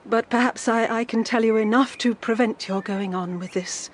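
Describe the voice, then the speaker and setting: A woman's voice: on the high side, steady tone, moderate pace, a little hiss or hum, natural voice. An actress playing an anxious, guarded middle-aged woman, hesitant and pleading, in a period audio drama. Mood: distressed. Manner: hesitant, pleading, formally polite. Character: anxious, guarded older female guardian keeping a sorrowful secret.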